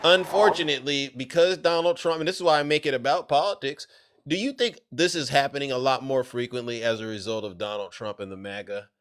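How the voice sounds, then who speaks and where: A man's voice: mid-range voice, brisk, fairly bright tone, some chatter behind. Casual male livestream host. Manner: casual, affirming, talks straight to the chat. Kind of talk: casual political livestream talk.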